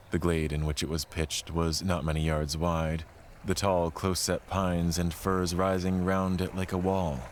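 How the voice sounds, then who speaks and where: A man telling a scripted frontier tale as a dramatic narrator, in a measured, formal, literary way. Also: low voice, slight background noise. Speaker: storyteller narrating an audio play. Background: music under the voice.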